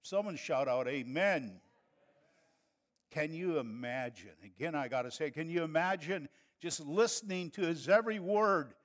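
An older man preaching with a northern Wisconsin accent, his voice sitting a little high for a man. He talks to the congregation in a folksy, chatty way and builds to fervent preaching. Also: lively intonation, moderate pace. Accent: Upper Midwest (northern Wisconsin). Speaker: pastor. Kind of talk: sermon.

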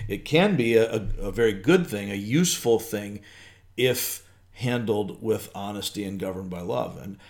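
A middle-aged man with a somewhat low voice talks his way through ideas on a podcast. He restarts often and thinks aloud in a loose, rambling way. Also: normal speed. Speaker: podcast co-host. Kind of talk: casual faith podcast chat.

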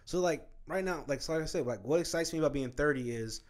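A man in his late twenties hosting a casual chat, animated and profane, driving his points home with "right?". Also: fairly high pitch, quick pace. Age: 20s.

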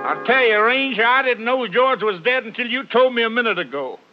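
An actor playing a hard-of-hearing, befuddled country ranch hand in a 1950s radio drama, folksy and rambling. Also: male voice, very high voice, animated, fairly quick, faint music behind. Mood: defensive, foggy. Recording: muffled old radio recording.

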